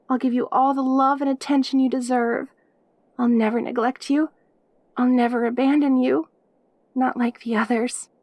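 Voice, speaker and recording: Audio roleplay demon girl, female performer: high-pitched, perky, lonely, obsessively devoted, stammering delivery, dry intimate sound. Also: darkish tone, no echo. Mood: devoted, sincere. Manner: eager, stammering, giggly, devoted. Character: perky, lonely, clingy yandere demon girl, centuries old.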